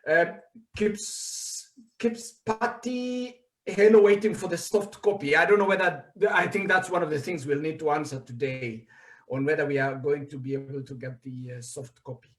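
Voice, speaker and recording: A man's voice: fairly high pitch, normal speed. Guy hosting a live session, reading out comments, laughs a lot, echoey room. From Kenya. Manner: animated, reads out comments, laughs easily. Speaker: host. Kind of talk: casual livestream chat, reading viewer comments.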